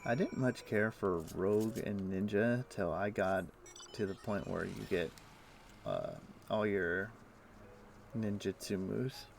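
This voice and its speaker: A man's voice: fairly low pitch, slow, gravelly, slight background noise. A man streaming a game, chatting casually and explaining things in a loose, rambling way full of fillers. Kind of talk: casual chat while playing.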